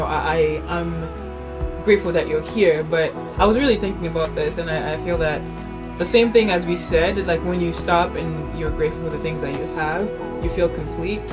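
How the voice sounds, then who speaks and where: A woman co-hosting a casual video chat with her husband, speaking in an earnest, heartfelt way. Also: low-pitched. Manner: earnest, warm-hearted, talks about faith. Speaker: co-host.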